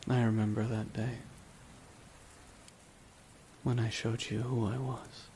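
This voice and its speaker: A man playing a brooding, remorseless villain in a dramatic ASMR roleplay, speaking in a hushed, deliberate way with long pauses. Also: mid-range voice. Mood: wistful, grave. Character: brooding, remorseless male villain at his dying nemesis's side. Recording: steady rain noise behind the voice. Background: rain falling on a surface.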